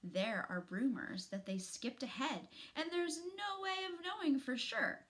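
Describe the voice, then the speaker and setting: Slow, high-voiced woman reading a storybook. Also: lively intonation, a bit bright. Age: middle-aged. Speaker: teacher reading aloud to students. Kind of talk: expressive children's storybook reading. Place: small furnished room at home, indoors.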